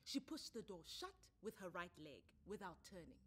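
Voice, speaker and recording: A woman with a South African accent reading a short story in an expressive, theatrical way. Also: lowish voice, moderate pace, breathy edge. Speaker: story reader. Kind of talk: dramatic short story narration.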